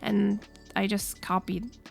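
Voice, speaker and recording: Hoarse woman chatting over a speed build. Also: mid-range voice, level tone, takes it slowly, faint music behind. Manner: chatty, rambling with fillers. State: hoarse from illness. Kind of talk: casual voiceover.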